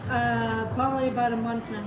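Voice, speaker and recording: A soft-spoken woman with a slightly high voice joins the chat as a guest and gives brief answers. She is heard from across an echoey room with loud ambient noise around her. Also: little pitch movement, a little fast, recorded from a distance. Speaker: guest, crochet group friend. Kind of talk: casual chat.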